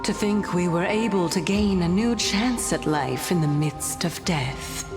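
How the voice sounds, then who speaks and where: A mysterious, gentle titan woman for a video game. She speaks slowly and formally in a lowish voice, wistful and knowing, with long reverb around her words. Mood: composed, knowing. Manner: measured, formal, theatrical. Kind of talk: scripted video game voice acting. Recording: long reverb, music behind. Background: game music.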